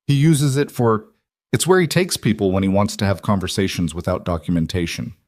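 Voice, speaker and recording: A man's voice: lowish voice, fairly quick, darkish tone, dry recording, clean studio sound, lightly acted. Man voicing a guarded, conflicted young mobster's son. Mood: guarded, reluctant.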